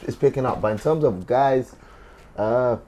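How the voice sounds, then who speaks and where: A man's voice: mid-range voice, moderate pace, dark tone, a little hiss or hum. A man from Sudan, a comedian, chatting casually on a podcast, wandering off his point and checking in with "you know what I mean". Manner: rambling, loses his thread, keeps asking "do you know what I mean".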